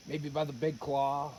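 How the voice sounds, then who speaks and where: A man's voice: on the high side, mellow, low-quality recording. A man chatting casually in a joking, wisecracking way while helping with a job. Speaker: helper on the project. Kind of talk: casual chat. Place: outdoors in a rural spot. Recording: clear outdoor noise with insects.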